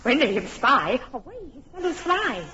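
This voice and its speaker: A woman's voice: sits high, sing-song, moderate pace, sharp tone, muffled recording, heavily acted. An actress voicing a mischievous fairy sprite in a classic radio drama, gleeful and teasing in her delivery. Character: mischievous impish fairy sprite, playful and quick.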